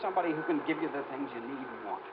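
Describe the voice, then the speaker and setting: An actor playing a proud, bitter, lovesick young suitor in a 1950s radio melodrama, impassioned, on a noisy, dull old recording. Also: male voice, mid-range voice, animated, racing, lightly acted. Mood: wounded, resigned.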